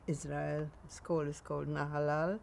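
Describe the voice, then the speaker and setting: A woman in her nineties with a Polish accent, recounting memories in a halting way in a sunny garden. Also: low-pitched, level tone, gravelly, a little mellow. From Poland. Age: 90s. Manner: halting, with frequent pauses and restarts. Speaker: interviewee.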